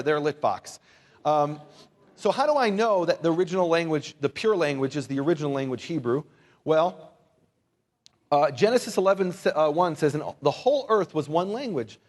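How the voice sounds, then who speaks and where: A man who is a native English speaker, giving an informal Bible lesson to a live audience in a conversational, wryly humorous manner with rhetorical questions. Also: on the high side. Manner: conversational, wry humour, rhetorical questions, asks listeners to repeat words. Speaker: Bible teacher and lecturer.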